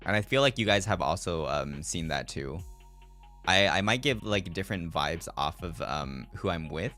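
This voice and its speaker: A man streams a casual, reflective chat in a very low voice with a bright edge. His pitch swings around a lot as he rambles and circles back over his own phrases. Music plays behind him. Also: animated, normal speed. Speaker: virtual streamer. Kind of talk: casual chat to live viewers. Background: background music.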